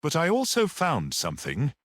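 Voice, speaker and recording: A man voicing a courteous, self-assured detective in an audiobook story, speaking in a formal, measured and dry way. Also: on the high side, wildly swooping pitch, fairly bright tone, no echo, pitched above the performer's own voice, heavily acted. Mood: composed, explanatory.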